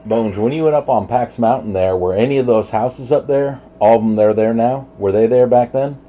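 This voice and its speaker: A man's voice: medium pitch, fairly quick, warm tone. A man chatting casually as an operator on CB radio. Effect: CB radio transmission. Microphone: CB radio microphone. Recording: dull CB radio with clear noise.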